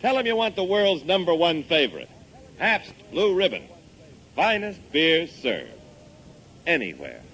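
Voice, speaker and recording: A man's voice: a little high, wildly swooping pitch, unhurried, pauses often, a bit bright. A man announcing in polished scripted 1950s radio style, reading sponsor messages and credits. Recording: vintage radio broadcast with music.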